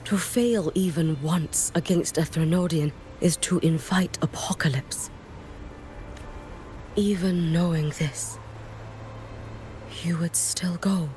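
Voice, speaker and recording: A woman voicing a stoic, solemn female warrior in a video game, speaking formally and softly, with a breathy edge. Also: on the low side, fairly even intonation, soft background music. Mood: grave, questioning. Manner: formal, measured, grave. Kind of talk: scripted video game voice acting.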